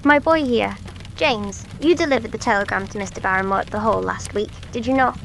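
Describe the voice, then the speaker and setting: A woman voicing a testy, defensive male village postmaster in an audiobook drama, with a brisk manner. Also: medium pitch, creaky, fairly bright tone, a little hiss or hum.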